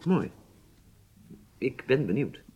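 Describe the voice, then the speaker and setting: An actor playing a polite, modest spy recruit in a radio drama, with a husky voice. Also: male voice, mid-range voice, very animated, takes it slowly, dark tone, heavily acted. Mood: honoured, attentive.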